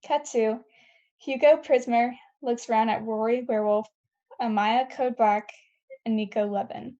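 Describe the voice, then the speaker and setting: A woman's voice: a little high, steady tone, measured pace, warm tone, muffled recording. A woman reading scripted stage directions at a table read, plainly and with the odd stumble.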